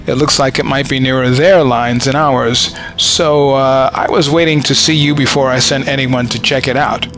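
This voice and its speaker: An actor playing a matter-of-fact American army sergeant in a war audio drama, easygoing and practical. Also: male voice, mid-range voice, steady tone, moderate pace, a bit bright, faint music behind, lightly acted.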